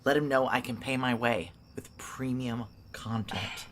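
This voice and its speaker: A woman's voice: extremely low pitch, unhurried, a little hiss or hum. An actress playing a tough, blunt, world-weary female security operative in a noir audio drama, speaking in terse, salty phrases. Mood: insistent, resolute. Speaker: lead actor and narrator in an audio drama. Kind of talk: noir audio drama acting with intimate first-person narration.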